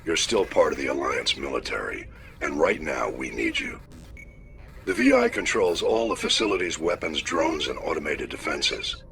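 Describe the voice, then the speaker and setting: An actor playing a gruff, commanding older admiral in a video game, giving a formal, direct mission briefing. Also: male voice, rumbling low, lively intonation, normal speed, noisy background, heavily acted. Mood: urgent, firm. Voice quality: gravelly.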